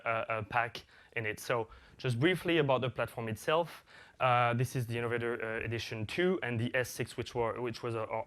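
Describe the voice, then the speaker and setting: Halting French-born man presenting. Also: medium pitch, a bit bright. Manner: halting, many fillers and restarts. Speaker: executive producer presenting. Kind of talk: conference talk.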